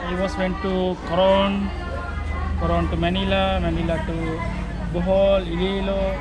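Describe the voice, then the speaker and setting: Travel vlogger, Sri Lankan accent, medium pitch, casual questions in short phrases, clear crowd noise outdoors. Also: little pitch movement, normal speed, low-quality recording. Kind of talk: casual questioning chat while touring. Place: outdoors at a butterfly garden.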